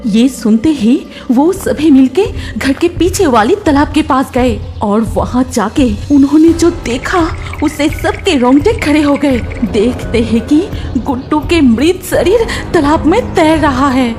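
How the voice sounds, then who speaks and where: A woman's voice: sits high, expressive, measured pace, few pauses, over music. A woman narrating a scripted story as the storyteller.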